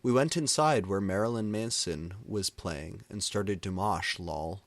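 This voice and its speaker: Slow, low-voiced man reading fan fiction. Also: dry recording.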